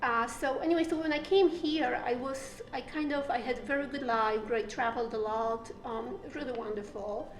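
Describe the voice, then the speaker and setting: A woman from Poland with a Polish accent, an artist telling her life story in an interview, halting with many fillers. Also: on the high side. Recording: echoey room with faint music.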